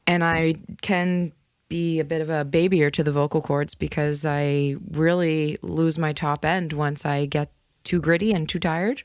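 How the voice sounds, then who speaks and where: Candid woman singer, low-ish dry voice. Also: steady tone, a little slow, smooth, no echo. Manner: open, candid, laughs while telling stories. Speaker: singer and podcast guest. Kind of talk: casual interview chat.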